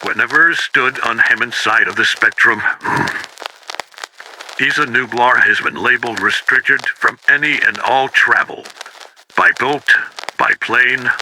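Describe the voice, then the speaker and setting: A man plays a grave male investigator dictating an official case report for an analog horror tape. His voice has a very bright, hard edge at a middling pitch. He speaks solemnly and deliberately, over faint radio-like noise. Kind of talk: 1990s analog horror audio log, scripted report. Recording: noisy, harsh-edged radio-like tape recording.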